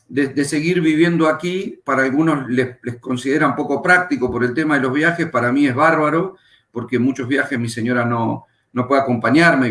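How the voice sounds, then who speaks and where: A middle-aged Argentine man, a pastor and Bible teacher, calmly telling his life story as a guest in an interview. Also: medium pitch. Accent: Argentine Spanish. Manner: unhurried, reflective, pauses with fillers. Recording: echoey room.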